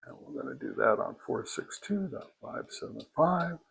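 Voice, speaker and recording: Older man, American accent, casual review narration, step-by-step, unhurried, medium pitch. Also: animated, creaky, fairly bright tone, husky. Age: older, 60s or above. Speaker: host, product reviewer.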